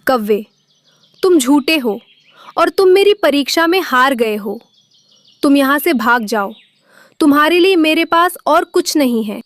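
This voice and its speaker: A woman's voice: high voice, animated, measured pace, pauses often, no echo. A woman voicing a magical talking tree that judges and commands the birds. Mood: stern. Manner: commanding, judging.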